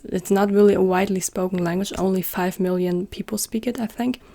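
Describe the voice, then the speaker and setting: A woman's voice: mid-range voice, steady tone, fairly quick, vocal fry, dark tone. A young woman chatting casually to camera in a vlog, filling her talk with "like" and joking about herself. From northern Germany. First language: German. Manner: chatty, self-deprecating, many filler "like"s. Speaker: YouTuber.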